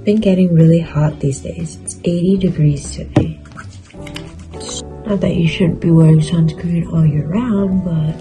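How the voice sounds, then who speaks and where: Young woman vlogger, low-energy and chatty, phone mic in a small room, music bed. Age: young adult. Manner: relaxed, chatty, filler-heavy with "like" and "kinda". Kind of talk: casual vlog chat to camera. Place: bedroom, indoors, small. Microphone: phone camera at arm's length.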